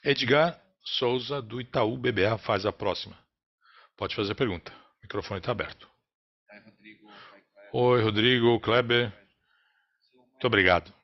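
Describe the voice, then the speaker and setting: A man with a Brazilian accent interprets a business earnings call live, in a halting, phrase-by-phrase delivery. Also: medium pitch, gravelly. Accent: Brazilian Portuguese. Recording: dull, lo-fi conference call audio.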